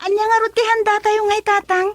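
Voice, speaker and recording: A woman's voice: very high voice, little pitch movement, a little fast, dry recording. A woman playing a caring, eager young daughter in an Ilocano radio drama, with an Ilocano accent. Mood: excited.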